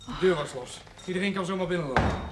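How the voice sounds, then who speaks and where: Hot-headed young son in an old tv drama, high voice all over the place, mouthy and blunt. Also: male voice, lively intonation, fast, very noisy. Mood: defiant. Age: young adult. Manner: blunt, colloquial, sharp-tongued. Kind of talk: television period drama acting.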